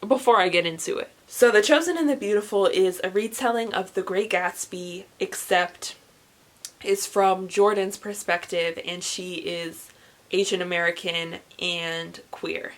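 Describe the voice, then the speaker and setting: A woman's voice: on the low side, fairly even intonation, unhurried. A young woman chatting casually and emphatically to camera, reviewing books in an informal way. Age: young adult. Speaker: book reviewer vlogger.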